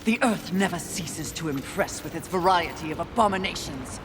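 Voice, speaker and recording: A woman's voice: fairly low pitch, animated, normal speed, music in the background, close to an everyday voice. An actress playing a haughty, battle-hungry female horseman of the apocalypse, sardonic and imperious, in a video game.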